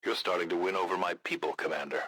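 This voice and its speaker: An actor playing a gruff, blunt, battle-hardened resistance leader in a video game, with a gravelly voice and a measured, grave manner. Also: male voice, sits low, steady tone, a bit bright, dry recording. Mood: measured approval. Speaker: military faction commander in a video game. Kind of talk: scripted video game voice acting.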